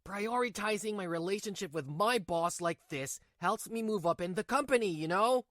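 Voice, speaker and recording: A woman's voice: mid-range voice, lively intonation, normal speed, fairly bright tone, dry recording, studio quality, close to an everyday voice. A woman voicing a selfish, irritable cheating husband with a dismissive manner in a manga dub. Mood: irritated, defensive. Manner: dismissive, snappy when challenged. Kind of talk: manga dub character acting.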